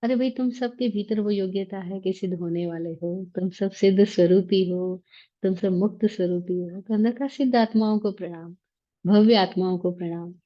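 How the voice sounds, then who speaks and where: A woman's voice: mid-range voice, fairly even intonation, moderate pace. A woman teaching a scripture class in a conversational way, asking rhetorical questions and dropping in English phrases. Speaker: Jain religious teacher. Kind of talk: lecture on scripture. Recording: slightly muffled, lo-fi.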